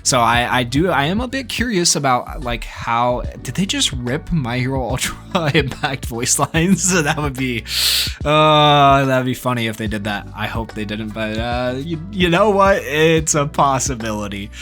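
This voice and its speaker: Guy doing chill informal gaming news talk, average pitch, kinda rambling, music playing behind him. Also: animated, normal speed, a bit bright. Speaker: gaming YouTuber. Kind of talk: casual gaming news commentary. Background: background music.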